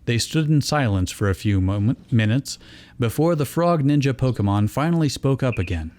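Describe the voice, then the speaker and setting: A man's voice: medium pitch, expressive, moderate pace, a little mellow. A man reading fan fiction aloud as narrator, in scripted storytelling style. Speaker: reader and narrator.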